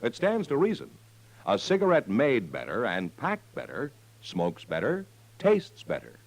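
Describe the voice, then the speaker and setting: A man's voice: mid-range voice, huge pitch swings, measured pace. An American man announcing a 1950s radio commercial in a chummy, salesmanlike way, talking straight to the listener. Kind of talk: 1950s radio advertising pitch.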